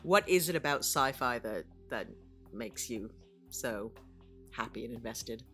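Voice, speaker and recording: A woman asks questions in a casual, playful chat. Her intonation is animated and swings widely, with teasing mock formality. Faint music sits behind her voice. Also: very deep voice, a little slow. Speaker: voice actor and podcast co-host.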